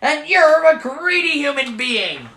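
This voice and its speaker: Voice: sing-song, sharp tone, echoey room, full character voice. A storyteller voicing a stubborn male leprechaun cobbler in an attempted Irish accent. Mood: angry. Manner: high, lilting, quick to anger.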